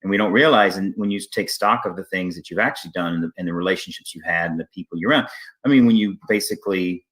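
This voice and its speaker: A man hosting a casual interview, speaking haltingly and often restarting his sentences. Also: deep voice, fairly bright tone, poor audio. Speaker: interview host, theater artistic director.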